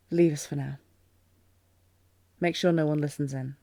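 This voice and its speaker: Woman acting a regal, tormented queen, low-lit mellow tone. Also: vocal fry, exaggerated voice. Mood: stern, commanding. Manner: regal, restrained, intense. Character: commanding, tormented queen in love with her general. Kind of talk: fantasy audio roleplay, dramatic acting.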